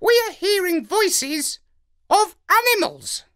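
A man's voice: very high voice, animated, slow pace, sharp tone, pitched above the performer's own voice, exaggerated voice. A man voicing a bossy, scornful, know-it-all parrot in a squawking, curt way for a children's story. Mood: dry. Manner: curt, dismissive.

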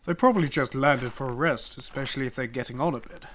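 A man's voice: mid-range voice, fast talker, fairly bright tone, soft background music, lightly acted. A man playing a dry, unflappable dwarf farmer in a fantasy audio drama, matter-of-fact in manner. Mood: calm.

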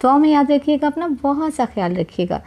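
A woman's voice: high voice, animated, brisk. Chatty woman hosting a cooking channel. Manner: warm, chatty, repeats religious blessings while cooking; addresses viewers directly, encouraging. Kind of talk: recipe demonstration voice-over. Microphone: voice-over, speaker not seen.

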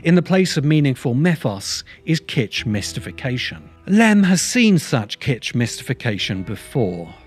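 Male narrator, middle-aged, for video essay: lively intonation, deliberate emphatic phrasing, medium pitch, music underneath. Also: slightly rough. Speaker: host of a science fiction video essay channel. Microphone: large microphone close in front of him.

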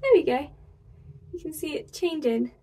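A woman in her twenties reading a picture book aloud to preschoolers, animated and expressive. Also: high voice, normal speed, recorded from a distance. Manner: animated, expressive, addressing young children. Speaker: children's storyteller and host.

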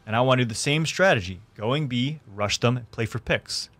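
A young man hosting a gaming tutorial, explaining things clearly step by step from his streaming room. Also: mid-range voice, moderate pace, fairly bright tone, dry recording. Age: young adult. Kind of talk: tutorial narration. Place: small indoor room streaming setup.

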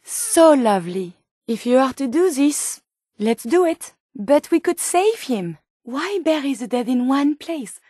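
A woman's voice: high-pitched, sing-song, measured pace, dark tone, no echo, clean studio sound. A woman with a French accent voicing a polite, earnest female robot scientist in a video game, speaking formally and precisely. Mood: earnest, curious. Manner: formal, precise, courteous phrasing without contractions. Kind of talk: video game character dialogue.

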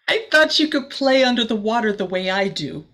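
A woman's voice: a little high, expressive, normal speed, fairly bright tone. A woman voicing a friendly, eager little frog from a storybook, exclaiming as she goes. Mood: apologetic.

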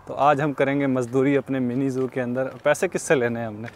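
A man's voice: mid-range voice, steady tone, fairly quick, a little hiss or hum. A young man from Pakistan narrating a casual vlog outdoors on farmland and a building site, laughing often between sentences, recorded on a lapel mic. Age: young adult. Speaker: vlogger. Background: faint outdoor ambience.

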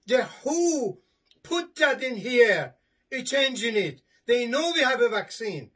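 Husky high-voiced man preaching a sermon. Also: big pitch swings, slow, bright, forceful tone. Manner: earnest, repetitive, with many restarts, gesturing while reading from papers. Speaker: imam preaching a Friday sermon. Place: indoor study lined with bookshelves. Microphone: camera in front at a few steps.

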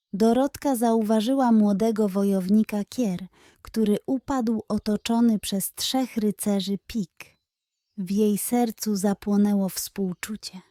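A woman's voice: mid-range voice, warm tone, dry recording, studio quality. A woman narrating a scripted children's story as the storyteller.

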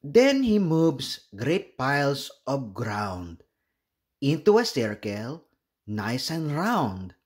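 Man, Filipino accent, children's story reader, very unhurried pace, animated intonation, mid-pitched. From Philippines. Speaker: children's book read-aloud host. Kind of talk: expressive children's storybook reading.

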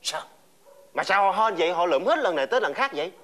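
An actor playing an eager, flattering servant in a comic fairy-tale drama, with a Southern Vietnamese accent and a repetitive, stammering delivery. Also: male voice, sits high, quick pace. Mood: puzzled, gossipy. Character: eager, flattering male servant of a rich master.

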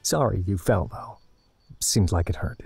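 Audio drama explorer male actor: practical, unhurried, lowish husky voice with lively pitch. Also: soft background music, full character voice. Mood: earnest. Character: Nikan, calm practical male explorer, reassuring to his captive.

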